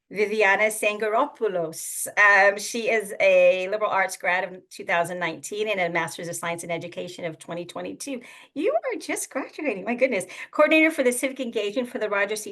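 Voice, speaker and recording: A woman's voice: medium pitch, fairly bright tone. A woman moderating a virtual panel over a video call. She introduces the guests and reacts with upbeat encouragement.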